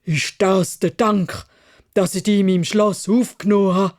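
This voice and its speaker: A woman voicing a grim, wrathful fairytale beast with a hoarse, croaking voice and a Swiss German accent, menacing and commanding. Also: medium pitch, steady tone, normal speed, darkish tone, close to an everyday voice. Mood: furious. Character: grim, wrathful beast guarding its castle roses.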